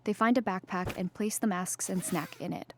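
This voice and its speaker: A woman reading scripted stage directions in a measured, neutral, descriptive manner. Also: medium pitch, a little hiss or hum. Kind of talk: scripted narration, present-tense stage directions.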